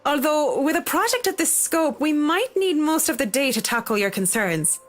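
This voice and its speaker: A woman's voice: sits high, sing-song, moderate pace. A woman playing a polite, businesslike professional in a visual novel game. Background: background music.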